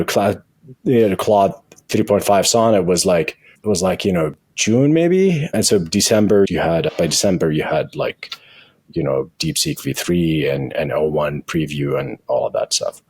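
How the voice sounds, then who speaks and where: Deep, husky man in a tech interview. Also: a little mellow, no echo. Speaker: guest, tech company founder and CEO. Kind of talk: interview conversation with software demo.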